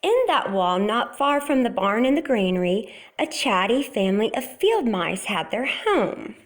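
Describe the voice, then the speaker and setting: A woman storyteller reading a children's picture book aloud in a deliberate, expressive way. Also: a little high.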